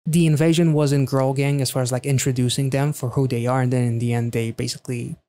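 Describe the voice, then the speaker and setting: Man, thirties, mid-pitched voice, casual commentary, halting and rambling, close-miked in a small studio. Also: level tone, dark tone. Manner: halting, rambling, thinking aloud. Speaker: reaction video host. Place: small quiet indoor home studio. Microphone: close studio microphone.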